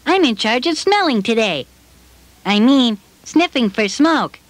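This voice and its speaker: A man's voice: extremely high pitch, big pitch swings, a little slow, no room sound, exaggerated voice. A man voicing a friendly, eager smoke-sniffing puppet who talks cheerfully to children in a scripted show.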